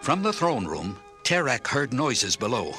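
A man narrating a children's story in an expressive, clearly enunciated read-along style. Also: medium pitch, measured pace, soft background music. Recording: orchestral film score under narration.

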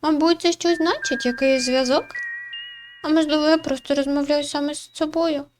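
A woman's voice: high voice, fairly even intonation, normal speed, background noise. A woman giving casual, playful game commentary, reading dialogue aloud and adding muttered asides in a chatty way. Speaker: gaming video creator, player. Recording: music behind the voice.

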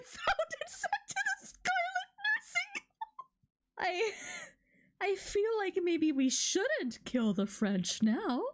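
A woman streamer reading video game dialogue aloud with casual commentary, in a giggly manner that often breaks up her words. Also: extremely high pitch. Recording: music behind the voice. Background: video game music.

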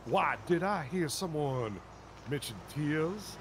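A man's voice: a little high, animated, slow, noisy background. A man playing a flamboyant revivalist street preacher in an online role-play, theatrical and repetitive in his exhortations. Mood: sly, grandiose.

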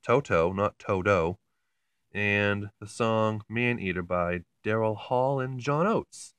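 A man's voice: on the low side, slow, a bit bright, smooth, dry recording. A man talking casually and informally in a rambling, mildly amused way, like someone giving a hands-on hobby demo. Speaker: hobbyist video maker.

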